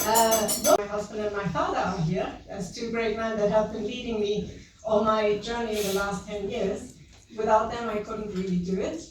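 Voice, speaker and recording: A woman from Germany with a German accent, giving an earnest, halting acceptance speech with many fillers. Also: medium pitch, steady tone, a little mellow, soft background music. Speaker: award recipient. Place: large hall at an evening event. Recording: echoey hall from far off.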